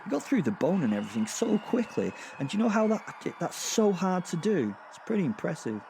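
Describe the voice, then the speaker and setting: A man's voice: high voice, very animated, a little fast, gravelly, mellow, a little hiss or hum, full character voice. A man playing a sadistic, gleeful werewolf killer, narrating a horror audio drama in a rambling, conversational way full of hesitant, amused understatement. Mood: fascinated, rambling.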